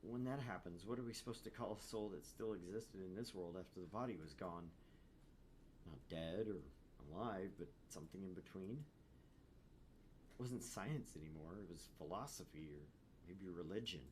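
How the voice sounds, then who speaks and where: A man's voice: sits low, moderate pace, a little hiss or hum. A man reading visual novel narration aloud as a gaming host, with casual asides.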